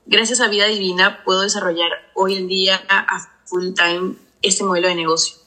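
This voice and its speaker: A woman's voice: medium pitch, fairly even intonation, normal speed, pushed and bright, poor audio. A woman in her twenties, polite and enthusiastic, asking for advice on a group call. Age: 20s. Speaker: caller asking a question.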